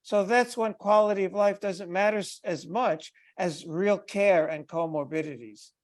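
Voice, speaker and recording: A man's voice: high-pitched, normal speed. Insistent older man on a video call. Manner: insistent, emphatic. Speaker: advocate for older people with HIV. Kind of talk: video call meeting discussion. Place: bright room indoors. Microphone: headphones on a video call.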